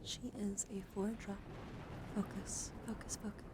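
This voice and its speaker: A woman streamer speaking softly and playfully in an ASMR style, close to the microphone, her breathy voice often dropping into a whisper. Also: mid-range voice, flat delivery, a little slow. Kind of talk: soft-spoken ASMR card showcase. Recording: clear background noise.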